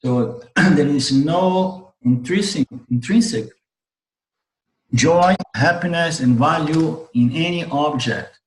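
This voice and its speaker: A man teaching a spiritual philosophy class over a video call with a strained voice. He keeps clearing his throat and talks haltingly, often restarting his phrases. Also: on the high side, echoey room, low-quality recording. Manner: halting, restarts phrases, repeats words while explaining. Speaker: Vedanta teacher. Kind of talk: online class lecture. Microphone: computer mic on a video call.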